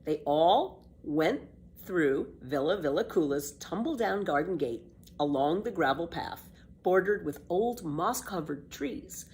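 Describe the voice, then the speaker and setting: A woman narrating a children's book as an expressive, measured storyteller. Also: lowish voice, a little hiss or hum.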